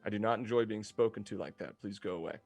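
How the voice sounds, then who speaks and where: A man voicing a polite, stiff male robot who cooks ramen, reading his lines in a formal, flat, measured way. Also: lowish voice, soft background music, pitched below the performer's own voice, close to an everyday voice. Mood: firm.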